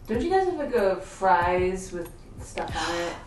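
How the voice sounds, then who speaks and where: A woman with a lowish voice asks interview questions in a plain, matter-of-fact way. She sounds distant from the mic in a roomy space, with faint noise behind her. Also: big pitch swings, a little slow, a little mellow. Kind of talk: reading out fan questions.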